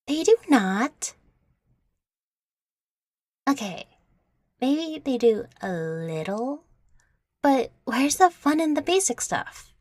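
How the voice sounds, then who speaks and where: A woman plays a young prankster fairy, nonchalant and slangy. Her voice is on the high side and very lively in pitch, with an unhurried pace. It is an audio roleplay with a dry, close sound. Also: dry recording, exaggerated voice. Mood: sulky. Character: laid-back, mischievous young female prankster fairy.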